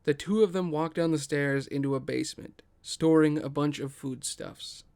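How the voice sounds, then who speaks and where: A man's voice: on the high side, moderate pace, gravelly, darkish tone, clear, resonant tone. A man reading scripted stage directions as the narrator of a table read.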